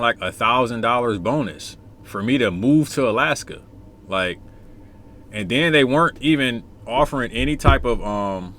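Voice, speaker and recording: A man's voice: mid-range voice, fairly bright tone. An American man giving casual commentary, repeating his phrases for emphasis. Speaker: commentator, former air traffic controller. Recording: noticeable background noise.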